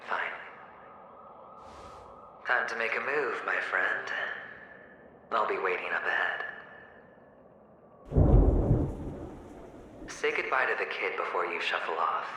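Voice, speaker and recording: An actor voicing a cynical male inner double of a gambler in a game cutscene, speaking in a slow, taunting, knowing way. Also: low voice, heavy reverb, in a large hall, noisy background. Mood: resigned.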